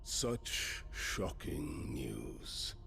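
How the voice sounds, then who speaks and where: An actor playing an imposing, scornful warlord in a video game cutscene, measured and deliberate, with a gravelly voice. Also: male voice, big pitch swings, pushed and bright, a little hiss or hum, heavily acted. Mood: smug, taunting. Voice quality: gravelly, very deep. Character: imposing, scornful warlord lord, adult man, menacing and smug.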